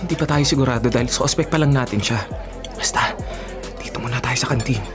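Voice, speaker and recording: A man's voice: mid-range voice, a little fast, lightly acted. Man acting as earnest Filipino boyfriend, music behind. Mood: eager, scheming. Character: earnest young man, devoted boyfriend, playful amateur sleuth. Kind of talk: Filipino radio drama acting.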